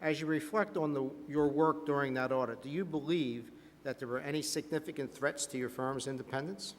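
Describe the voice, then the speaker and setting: A somewhat high-pitched male voice rings in a reverberant hall. A man acts out a board investigator in a scripted role-play, questioning with formal courtesy and methodical persistence. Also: a little fast, a little hiss or hum, close to an everyday voice. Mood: courteous, probing.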